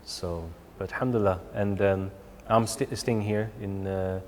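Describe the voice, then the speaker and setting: A man's voice: low-pitched, steady tone, measured pace, a little mellow, in a large hall, slight background noise. A man, answering interview questions hesitantly, with many fillers. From Sweden. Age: 20s. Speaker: guest. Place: TV studio.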